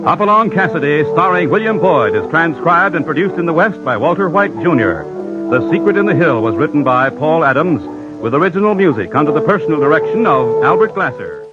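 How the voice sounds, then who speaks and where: A man's voice: on the high side, animated, a little fast. A male announcer with an American accent, reading a script in 1950s radio style. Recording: dull old radio recording. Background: music.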